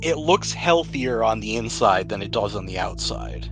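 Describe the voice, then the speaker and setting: A man's voice: lowish voice, expressive, normal speed, music in the background. Man casually running a tabletop game. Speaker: game master.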